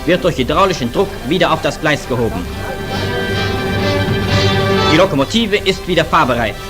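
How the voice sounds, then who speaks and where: Old forties newsreel announcer guy, kinda high voice, formal and declamatory, loud music under him. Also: expressive, moderate pace. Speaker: newsreel narrator. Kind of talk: 1940s German wartime newsreel narration. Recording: 1940s newsreel soundtrack, loud music behind.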